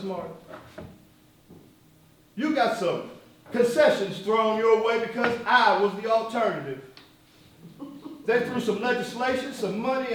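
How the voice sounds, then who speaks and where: A very echoey, distant stage recording carries a male actor as a fiery male activist leader. His voice is high for a man, and he argues with defiant, sardonic emphasis, building his points like an orator. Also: fairly bright tone, heavily acted. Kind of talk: theatrical stage acting, rhetorical speeches. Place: stage performance, recorded from far off.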